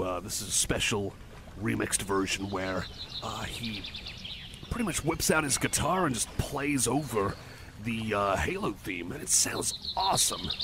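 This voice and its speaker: Guy doing a shy nerdy cyborg buddy for asmr rp, low gravelly voice, stammers and rambles, sorry about everything. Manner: hesitant, stammering, self-conscious. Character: shy, rambling, apologetic male cyborg buddy, eager game nerd. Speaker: ASMR roleplay performer. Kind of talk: ASMR roleplay, casual one-sided chat. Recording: noisy outdoor ambience with birds. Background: birdsong, outdoor nature ambience.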